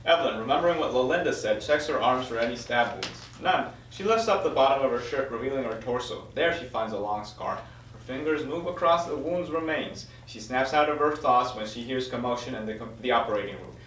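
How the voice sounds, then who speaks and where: A man's voice: mid-range voice, animated, a little fast, a bit bright. A man reading stage directions as the narrator of a screenplay table read. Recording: echoey room, distant mic, faint noise.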